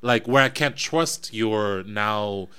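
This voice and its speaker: Podcast host, young man of West African family from New Jersey, slightly low, bright and forceful voice, thoughtful, halting. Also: clear, resonant tone. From West African family, raised in New Jersey. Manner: reflective, thinks aloud with restarts. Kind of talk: casual conversation.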